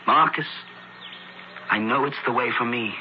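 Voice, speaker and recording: A man's voice: medium pitch, moderate pace, lightly acted. Man as earnest devout youth, noisy radio. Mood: confiding, fervent. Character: earnest, devout young man of ancient Pompeii seeking conversion. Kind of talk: radio drama acting. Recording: dull noisy old radio broadcast.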